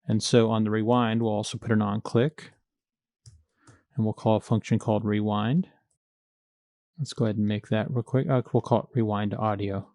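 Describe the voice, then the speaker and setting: A man's voice: lowish voice, level tone, moderate pace, pauses often, warm tone, no room sound, clean studio sound. A man narrating a step-by-step coding tutorial, informally thinking aloud as he works. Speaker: programming tutorial instructor.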